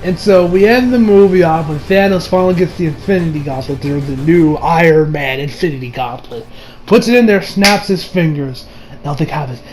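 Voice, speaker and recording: High-voiced, excitable young man. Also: normal speed, poor audio. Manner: excitable, gushing, swearing, often laughing. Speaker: movie reviewer. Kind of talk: casual unscripted fan review.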